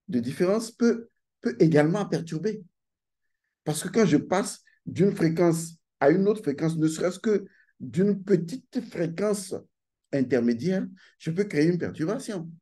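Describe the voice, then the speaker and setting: A man's voice: on the high side, dark tone, breathy edge. A man giving an insistent, lecturing monologue, stressing and repeating his key points. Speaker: channel host giving a talk.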